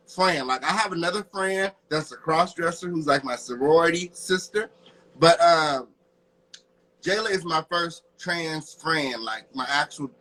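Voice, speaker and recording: A man's voice: fairly high pitch, sharp tone, low-quality recording. A man hosting a casual, heated discussion, talking in a sassy, emphatic and challenging way. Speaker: host of a discussion show.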